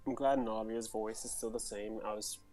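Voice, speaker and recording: A woman's voice: rumbling low, steady tone, moderate pace, warm tone, faint music behind. A woman chatting casually as a streamer while playing a game.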